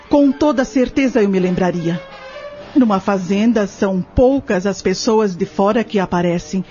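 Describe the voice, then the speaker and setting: A woman plays a composed Brazilian farm wife on the witness stand in a radio play. Her mid-pitched voice is softly mellow, and she answers formally and firmly. Music runs under the slightly dull recording. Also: lightly acted. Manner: polite, firm, formal answers.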